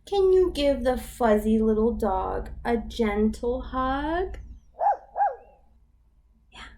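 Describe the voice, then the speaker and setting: A woman leading a storytime for babies as a children's librarian, reading in an exaggerated sing-song way with gasps and hushed whispers. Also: a little high, animated, takes it slowly, noisy background.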